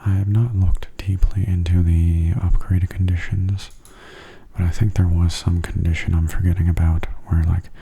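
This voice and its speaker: A man giving calm, soft-spoken ASMR game commentary in a breathy, unhurried voice that often drops to near a whisper. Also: deep voice. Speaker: gaming video host.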